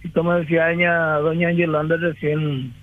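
A man from Paraguay calling a radio show, explaining a recipe in a halting way and repeating himself. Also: on the high side, level tone, no room sound, soft background music. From Carapeguá, Paraguay. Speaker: radio caller. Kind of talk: explaining a recipe on a phone call. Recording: tinny phone line.

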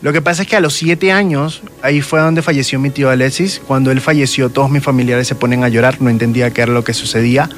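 A man's voice: mid-range voice, steady tone, fast, few pauses. Venezuelan man telling personal stories. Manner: frequent check-in tags. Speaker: guest, self-described medium. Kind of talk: conversational personal storytelling.

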